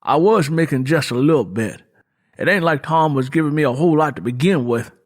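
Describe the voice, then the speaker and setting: Audiobook role: rough, poor country young man, voiced by a male narrator, cocky and short-tempered, folksy grammar, lively high-set delivery. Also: brisk. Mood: worried, frustrated. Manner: folksy rural vernacular, double negatives. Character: rough young rural laborer, poor, defiant, quick-tempered, hard-drinking. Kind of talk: audiobook character dialogue.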